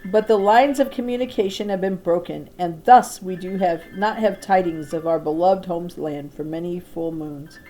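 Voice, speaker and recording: A woman's voice: lowish voice, moderate pace, hardly any pauses, warm tone, faint music behind, lightly acted, poor audio. Woman playing a brave, regal make-believe girl queen. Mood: regal, hushed. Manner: theatrical, grand archaic speech.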